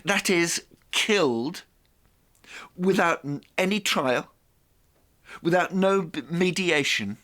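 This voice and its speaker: A man presenting a reflective monologue in a theatrical, deliberate way, with rhetorical asides. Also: on the high side, bright, forceful tone.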